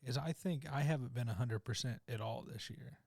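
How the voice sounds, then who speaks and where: A man's voice: mid-range voice, a little fast, gravelly, darkish tone, husky, no echo. A young man with a mid-range voice, laid-back and blunt, joking with his co-host on a podcast. The sound is dry and close to the mic. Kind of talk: casual chat. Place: podcast studio.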